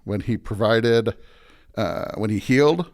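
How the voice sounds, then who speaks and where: A man's voice: on the low side, measured pace, a little mellow. A man speaking as a pastor and podcast guest, conversational but preaching, emphatic and often checking in with "right?".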